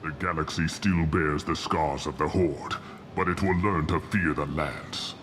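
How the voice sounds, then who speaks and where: A man voicing an arrogant, ruthless old alien warlord scientist in a video game, speaking with grandiose, deliberate contempt. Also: extremely low pitch, noisy background. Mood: grand contempt. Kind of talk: video game dramatic acting.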